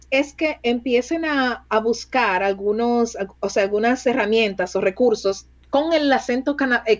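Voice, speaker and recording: Chatty woman, high muffled voice. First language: Spanish. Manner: lively, laughs often, chatty with many restarts. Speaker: bilingual recruiter, interview guest. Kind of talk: casual interview chat.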